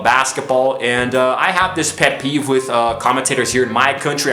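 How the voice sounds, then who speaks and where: A man's voice: mid-range voice, pushed and bright, echoey room. A man vlogging to camera about his views, chatty and tired, often saying "you know", from Brazil. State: tired and low on energy. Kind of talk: casual opinion vlog to camera. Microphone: camera mic, filming self.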